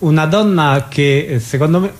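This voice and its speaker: Guy hosting a radio chat, voice a bit high, informal questions, listens closely. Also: moderate pace. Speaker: radio host, interviewer.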